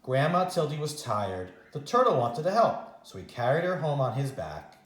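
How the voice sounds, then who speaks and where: A man reading a children's story aloud as a teacher, expressively and with dramatic pauses. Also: medium pitch, big pitch swings, a bit bright, echoey room. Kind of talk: animated read-aloud storytime narration.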